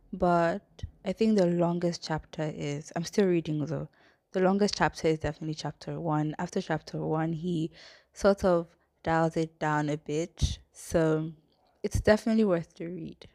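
A young woman in her twenties with a cold, talking casually on a faith podcast, rambling and apologetic and often sinking into a breathy near-whisper. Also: fairly low pitch, fairly even intonation, a little slow, dark tone, no room sound, studio quality. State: has a cold. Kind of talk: casual testimony podcast talk.

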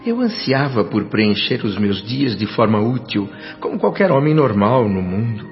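An actor playing a humble, earnest doctor in the spirit world, speaking formally and courteously in a radio drama. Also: male voice, mid-range voice, big pitch swings, normal speed, natural voice. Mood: wistful, reflective. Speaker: lead actor and first-person narrator. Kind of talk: radio drama acting and reflective narration. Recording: dull lo-fi radio drama with music. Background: music.

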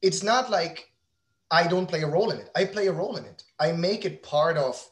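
A man's voice: fairly high pitch, brisk. A man in his thirties talking casually as an interview guest, candid and rambling, often correcting himself mid-sentence. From outside the United States. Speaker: guest, company founder and father.

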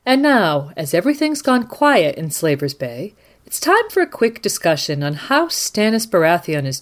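A woman hosting a scripted mock news broadcast, presenting and interviewing in a measured, formal way. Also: mid-range voice. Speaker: podcast host playing a live news anchor.

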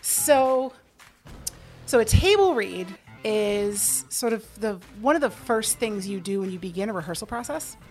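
A woman speaking as an interview guest, a theater director explaining things in a casual, animated way with asides. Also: mid-range voice, a little slow, faint music behind.

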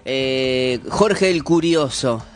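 Halting Uruguayan man chatting on radio. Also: mid-range voice. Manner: halting, searching for words. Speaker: radio host. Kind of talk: casual radio chat. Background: music in the background.

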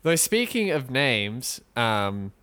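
A man co-hosting a podcast in casual chat, rambling and joking with halting restarts. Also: medium pitch, big pitch swings, pushed and bright, clear, resonant tone. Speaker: podcast co-host. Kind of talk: casual chat, mock sponsor read.

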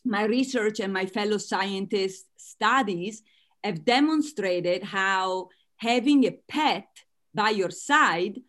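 Woman, Italian accent, earnest interview guest, light laughs between points. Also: medium pitch. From Italy. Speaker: guest author. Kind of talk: interview answers.

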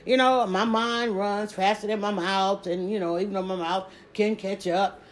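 A woman with a Southern accent chatting casually on a live stream, talking quickly and restarting her sentences often. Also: medium pitch. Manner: rapid, stumbling restarts, animated emphasis. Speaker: social media creator.

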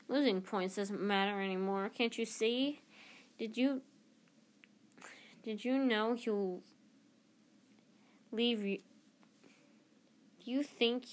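A determined, defiant teenage boy, voiced by a woman reading aloud with an urgent, intense delivery. The voice stays close to her own mid-range pitch, lightly acted for a storybook. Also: close to an everyday voice. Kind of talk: reading a children's novel aloud.

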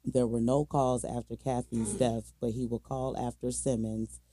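A man's voice: mid-range voice, little pitch movement, moderate pace, warm tone, a little hiss or hum. An American man co-hosting a true crime podcast, telling the case casually in slangy, colloquial language. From Minnesota, United States.

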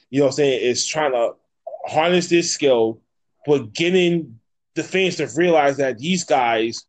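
A man in his thirties hosting a casual podcast interview, slangy and sweary, encouraging his guests. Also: medium pitch. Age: 30s.

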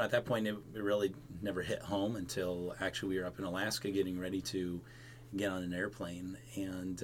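A man in his thirties with a deep voice talks as a podcast guest. His storytelling is casual and personal, recorded with faint background noise. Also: a bit bright. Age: 30s.

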